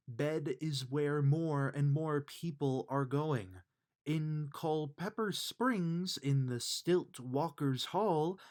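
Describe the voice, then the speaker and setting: A man reading a rhyming children's bedtime book aloud with measured, emphatic storytelling delivery. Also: a little high. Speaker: storybook reader.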